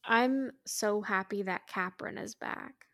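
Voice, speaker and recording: A woman's voice: medium pitch, takes it slowly, fairly bright tone, no echo, clean studio sound. A woman co-hosting a podcast in casual chat, speaking in a playful, informal way. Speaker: podcast co-host.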